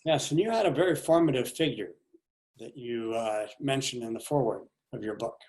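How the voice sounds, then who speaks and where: An older man hosting an interview over a video call from a large living room, introducing his guest in an admiring, unhurried way. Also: medium pitch, darkish tone. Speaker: show host. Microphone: video call webcam.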